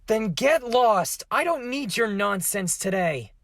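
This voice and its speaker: A woman voicing an exasperated, brash teenage boy in a fan drama, loud and dismissive. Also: mid-range voice, expressive, slow pace, dry recording, clean studio sound. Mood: irritated.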